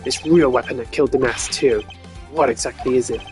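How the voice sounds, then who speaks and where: A man's voice: mid-range voice, a little fast, darkish tone, soft background music, close to an everyday voice. A man voicing a proud, sardonic video game investigator who is blunt and challenging. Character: proud, taunting male investigator, protective of his men.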